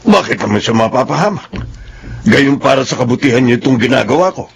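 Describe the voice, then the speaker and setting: A man's voice: mid-range voice, expressive, brisk, creaky, slight background noise. A man playing a stubborn, overbearing middle-aged father in a Filipino radio drama. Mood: indignant, proud. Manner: emphatic, overbearing. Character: stubborn domineering middle-aged father, proud of his word.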